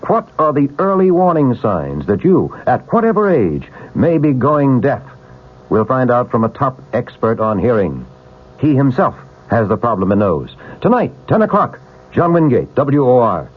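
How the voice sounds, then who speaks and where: A male radio newsman delivers a promo in short, punchy, dramatic phrases. His pitch moves a lot, and the old broadcast sounds thin and tinny, like a telephone. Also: very animated, normal speed, breathy edge. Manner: clipped, punchy, dramatic questions and answers. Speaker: radio news presenter. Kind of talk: 1970s radio news promo. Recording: tinny band-limited vintage radio broadcast.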